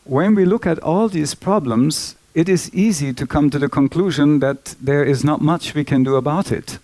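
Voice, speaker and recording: A man speaks earnestly and deliberately in a fairly high voice. The recording is dry and close. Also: darkish tone, no room sound. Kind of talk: reflective spoken introduction.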